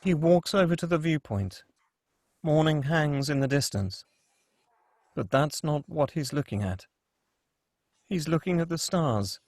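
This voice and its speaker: A man's voice: a little high, measured pace, long pauses, a little mellow, dry recording. A man reading screenplay action and scene directions as scripted narration at a table read.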